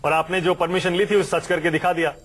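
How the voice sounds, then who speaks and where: A man anchoring a TV news show, interviewing a guest in the studio with brisk, teasing questions. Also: a little high, little pitch movement, a bit bright.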